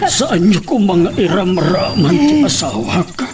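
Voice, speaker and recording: A man's voice: sits high, big pitch swings, measured pace. A man playing an old farmer, indignant and insistent about his innocence, in a melodramatic Filipino radio drama. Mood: defiant, indignant. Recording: noisy track with clicks and insects.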